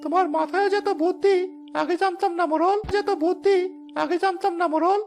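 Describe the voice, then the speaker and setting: Cartoon crony: male actor as callous village chairman, high voice, smug delivery, some music underneath. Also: fairly even intonation, a little fast. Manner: smug, self-satisfied. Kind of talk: animated moral story voice acting.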